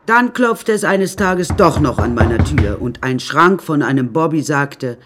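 A sardonic, much-widowed woman in a radio drama. She tells of her life with flippant, deadpan coolness and bristles when challenged. Soft music lies behind her voice. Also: sits low, measured pace. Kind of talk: radio drama narration and dialogue.

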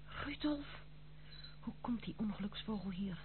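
A woman's voice: medium pitch, lively intonation, unhurried, lightly acted. An actress in a radio play playing a strong-willed young noblewoman, formal, firm and reproachful. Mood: wary. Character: strong-willed, stern young noblewoman protecting her household. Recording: tinny, phone-like old radio play, slight hum.

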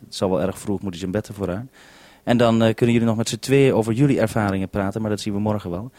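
A man's voice: lowish voice, a little mellow, dry recording. A man from the Netherlands hosting a two-way radio conversation, handing back with "over" as he goes. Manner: says "over" to hand back. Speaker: radio host.

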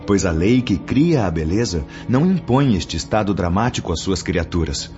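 A man's voice: sits low, lively intonation, moderate pace, dark tone. A man playing a grave, patient spirit mentor in a radio drama, teaching in formal diction. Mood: solemn, explanatory. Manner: solemn, didactic, formal diction. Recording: dull lo-fi audio under music.

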